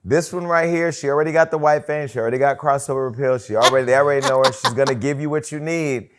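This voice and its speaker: A man in his forties hosting a talk show, chatting casually and hyping up his guest with lively energy. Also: mid-range voice, fast, noisy background. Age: 40s. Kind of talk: casual interview chat.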